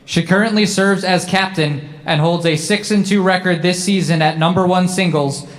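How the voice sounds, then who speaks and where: A man presenting at an awards ceremony, reading a formal introduction in a measured, announcing way. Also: high voice, fairly even intonation. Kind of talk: formal introduction read aloud.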